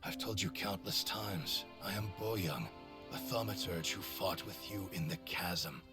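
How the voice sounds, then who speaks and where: A man playing a patient older male sorcerer, speaking quietly and with weary resignation. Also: low voice, measured pace, over music. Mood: wry.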